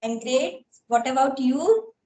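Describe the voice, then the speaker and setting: A woman in her thirties with an Indian accent, hosting a casual interview in halting learner's English. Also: sits high, expressive, mellow, far from the mic, slight background noise. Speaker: interviewer, YouTube host. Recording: roomy, echoey sound.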